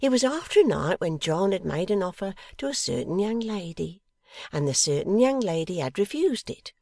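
A woman voicing a warm-hearted, delighted old working-class wife in a classic novel reading, with folksy, exclamatory speech. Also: medium pitch, big pitch swings, moderate pace, no echo, clean studio sound, pitched above the performer's own voice. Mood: earnest, eager storytelling.

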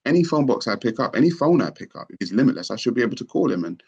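A man's voice: medium pitch, big pitch swings, rapid-fire, gravelly, a little mellow, slightly rough, dull audio. A man hosting a casual conversation, skeptical and questioning, arguing with rhetorical questions.

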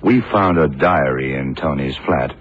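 Very deep, wry investigator. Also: male voice, measured pace. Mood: measured, probing. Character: calm, wry, deep-voiced lead investigator. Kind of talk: radio crime drama acting. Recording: muffled, noisy vintage radio recording.

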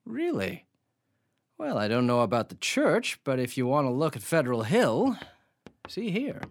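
An actor playing a genial, hard-drinking bohemian illustrator in a radio drama, chummy and wry, with period slang. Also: male voice, medium pitch, wildly swooping pitch, normal speed, a little hiss or hum. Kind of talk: dramatized audio drama dialogue.

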